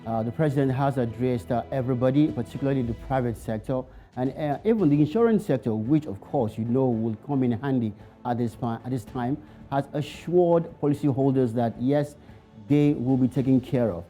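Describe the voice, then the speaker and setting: A man's voice: mid-range voice, a little fast, mellow, soft background music. A man speaking as a business editor, answering questions with frequent fillers. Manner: hesitant with fillers. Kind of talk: studio interview answers.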